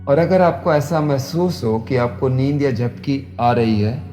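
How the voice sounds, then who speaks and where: A man, a yoga instructor leading guided meditation in measured, repetitive instructions. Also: medium pitch, mellow. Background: new-age music.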